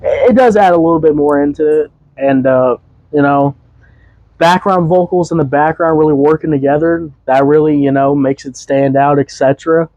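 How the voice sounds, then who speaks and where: Slightly hoarse young man reviewing music. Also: on the high side, moderate pace, darkish tone, no room sound, muffled recording. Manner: loose and rambling, many fillers and restarts. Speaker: podcast host and music reviewer. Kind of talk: casual music review monologue.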